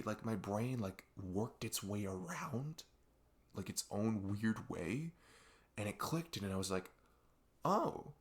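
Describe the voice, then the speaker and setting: A young man playing a best friend who opens up in an intimate ASMR deep talk, rambling and halting, earnest and reflective. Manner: rambling, halting, reflective, frequent "like" and "you know". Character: earnest male best friend opening up late at night. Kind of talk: intimate ASMR audio roleplay, unscripted-sounding deep talk.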